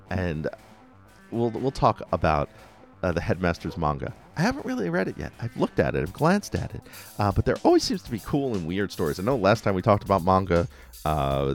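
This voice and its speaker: A man hosting a podcast solo, talking casually in fan commentary. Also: deep voice. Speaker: podcast host.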